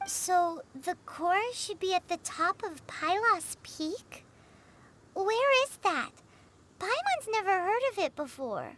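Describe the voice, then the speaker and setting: A woman voicing a tiny, chirpy, excitable childlike fairy companion in a video game, with a squeaky voice. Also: slow, noisy background, heavily acted. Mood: curious. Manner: excitable, exclaiming, refers to self in third person. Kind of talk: video game character acting. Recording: music behind the voice.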